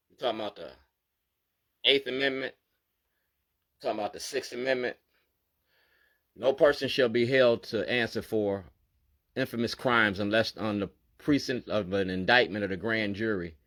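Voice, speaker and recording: A man, an activist talking straight to the camera as he goes through his documents, insistent and repeating "you feel me?" to drive each point home. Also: medium pitch. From Baltimore, USA. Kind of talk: direct-to-camera monologue going through documents.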